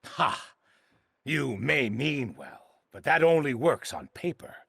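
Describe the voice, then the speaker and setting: Gruff, gravelly sect elder. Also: male voice, mid-range voice, expressive, slow, fairly bright tone, no room sound, studio quality, full character voice. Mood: sceptical, scornful. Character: gruff, proud, sceptical male martial-sect elder.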